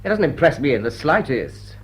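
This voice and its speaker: A suave lord who teases and insists. His mid-pitched voice is husky and highly animated, in a theatrical classic drama manner. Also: brisk, heavily acted, low-quality recording. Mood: smug, insistent. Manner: teasing, theatrical. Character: suave, mocking aristocratic rake, possessive and amused. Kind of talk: classic stage drama acting.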